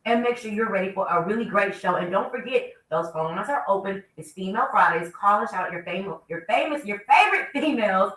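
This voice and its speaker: Woman radio host, bright forceful voice, slightly stuffy, roomy sound a bit off-mic. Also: medium pitch, expressive, a little fast, few pauses, distant mic. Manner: upbeat, chatty, lots of shout-outs. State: stuffy from a cold. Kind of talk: casual morning radio talk.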